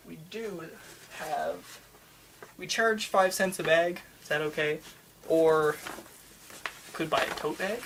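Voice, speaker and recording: A person doing a casual ASMR roleplay as a shop worker, chatting in a rambling, halting, enthusiastic way. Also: mid-range voice, fairly bright tone, a little hiss or hum. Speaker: manga store worker in a roleplay. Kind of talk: ASMR roleplay, casual customer-service chat.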